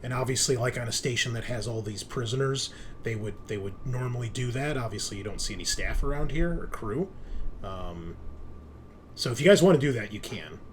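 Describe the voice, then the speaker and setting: A man's voice: mid-range voice, animated, fairly quick, slight background noise. A man running a tabletop roleplaying game as game master, explaining scenes and rules conversationally.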